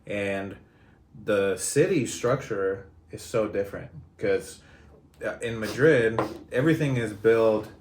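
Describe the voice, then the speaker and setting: A man from Spain hosting a casual podcast conversation, chatty and quick to laugh. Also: lowish voice, darkish tone. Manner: chatty, laughs often, many restarts. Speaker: podcast host. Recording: echoey room with faint noise.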